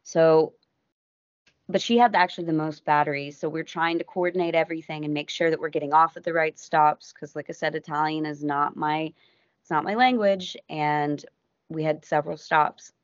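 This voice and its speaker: An American woman, a podcast guest, telling a travel story in a casual, animated way that keeps breaking off and acting out what people said. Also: low-pitched, no room sound. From United States. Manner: animated, self-interrupting, acts out quotes. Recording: dull lo-fi audio.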